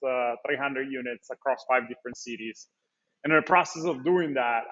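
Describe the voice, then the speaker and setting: A man's voice: medium pitch, lo-fi sound. A man from Italy, a startup founder explaining his business in a webinar interview, fluent but with many fillers and restarts. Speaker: startup founder and CEO, guest. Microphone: video call.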